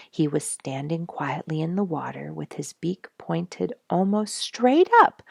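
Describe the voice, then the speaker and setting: A woman with a low voice and a dry, close sound reads a children's book aloud. She tells it expressively, leaning on key words with dramatic emphasis. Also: creaky, a little mellow, no echo. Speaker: storyteller reading a children's book.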